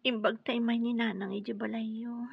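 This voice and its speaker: A woman with an Ilocano accent playing a frail, aggrieved young wife who is sick, in a radio drama, with a creaky, breathy voice. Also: on the high side, level tone, moderate pace, dry recording, highs cut off, exaggerated voice. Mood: weak, hurt.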